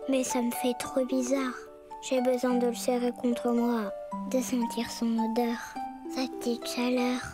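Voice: mid-range voice, completely flat delivery, measured pace, over music, close to an everyday voice. A performer voicing a sweet, earnest little brown bear cub boy in a preschool cartoon, with childlike speech. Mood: wistful.

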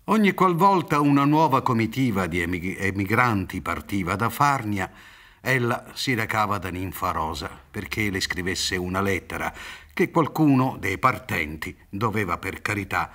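Deep-voiced, expressive male narrator. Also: normal speed. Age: middle-aged. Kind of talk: scripted literary narration for radio drama. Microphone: studio microphone, seated on a theatre stage.

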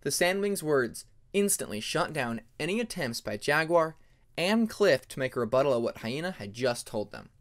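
Guy narrating a fantasy audiobook, lively up and down pitch, slightly high for a man. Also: moderate pace. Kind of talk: scripted story narration.

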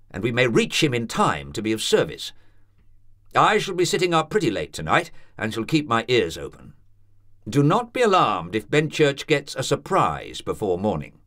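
A man reading aloud and voicing a solemn older country doctor who speaks in a formal, measured way. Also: mid-range voice, a bit bright, voice pushed up. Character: grave, deliberate older male country doctor.

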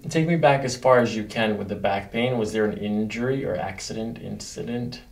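Man, middle-aged chiropractor, heavy vocal fry, soothing instructions, faint background noise. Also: on the low side, a little fast. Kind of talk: hands-on exam, calm instructions to a patient. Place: small carpeted treatment office.

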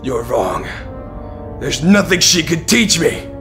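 A man's voice: on the high side, big pitch swings, slow, exaggerated voice. A man playing a stubborn, bitter captive warrior knight in an audio drama, answering in short, defiant lines. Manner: defiant, terse. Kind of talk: fan audio drama acting. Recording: music behind the voice.

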